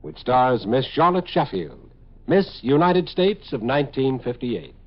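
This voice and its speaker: A man's voice: mid-range voice, big pitch swings, measured pace. A man announcing a programme in dramatic 1950s radio style. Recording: muffled 1950s radio recording.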